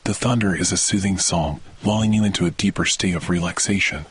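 Male meditation host, low register, soothing and measured scripted read, faint thunder ambience behind. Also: noisy background. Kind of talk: scripted guided sleep meditation. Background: thunder rumbles.

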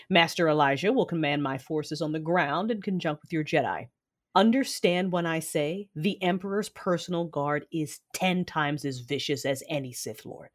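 Woman as a low-voiced, commanding Sith warrior mother. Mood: commanding, resolute. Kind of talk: first-person audio drama narration and dialogue.

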